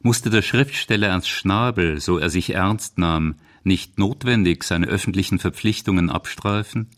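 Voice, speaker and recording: Male documentary narrator, deep voice, formal measured reading of a script. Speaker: radio feature narrator.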